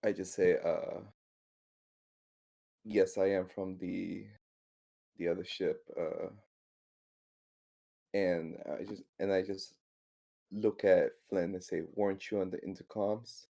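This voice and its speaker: A man playing a tabletop roleplaying game, chatting casually and describing his moves in a halting, hesitant way. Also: deep voice, flat delivery, gravelly, mellow.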